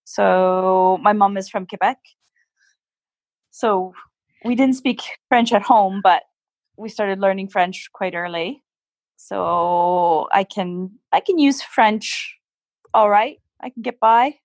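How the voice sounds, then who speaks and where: A Canadian woman, a guest in an interview, explaining things patiently in casual chat, modest and quick to laugh at herself. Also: mid-range voice, no room sound. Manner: self-deprecating, laughs often, explains patiently.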